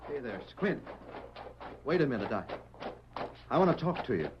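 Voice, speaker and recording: A man playing a desperate, earnest Old West townsman in a radio western, husky, folksy and imploring. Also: mid-range voice, very animated, normal speed, noisy background, lightly acted. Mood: pleading. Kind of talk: 1940s radio western acting. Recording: muffled vintage radio recording.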